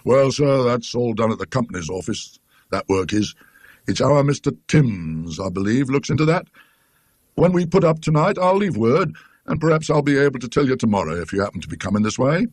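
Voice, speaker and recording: Man putting on an indignant British tram conductor, swooping pitch. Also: sing-song, brisk, a little mellow, breathy edge, dry recording. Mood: helpful. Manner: rambling, emphatic, colloquial. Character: talkative, indignant working-class male tram conductor, deferential to gentlemen.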